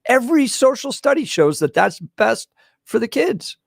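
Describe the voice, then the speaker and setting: A man's voice: very high-pitched, very animated, moderate pace, creaky, dry recording. A man hosting a talk radio show, making emphatic points in list-like runs from a studio mic. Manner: emphatic, lectures in lists. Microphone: studio microphone.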